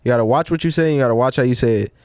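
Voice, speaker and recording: Casting note: young guy, casual podcast chatter, smooth and clear, very dry close mic. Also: medium pitch, very fast, darkish tone, no echo. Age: young adult. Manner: rambling, restarts with "like" and "you know". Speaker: podcast host. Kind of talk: casual chat.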